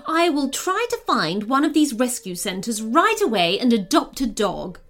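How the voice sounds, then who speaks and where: A woman voicing a serious, regal red panda queen for a children's storybook, measured and imperious but curious and soft-hearted. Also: sits high, huge pitch swings, exaggerated voice. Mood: passionate.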